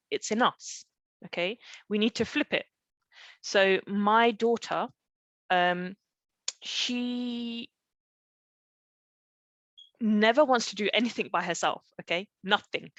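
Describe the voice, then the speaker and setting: A woman in middle age teaching in a conversational webinar style, with a dry, close sound free of room echo. She explains step by step through personal stories and often stops to check that listeners follow. Her pitch sits in an ordinary female range. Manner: explanatory, often checking in with "okay?" and rhetorical questions. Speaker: parenting coach leading a live online session. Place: live online group session.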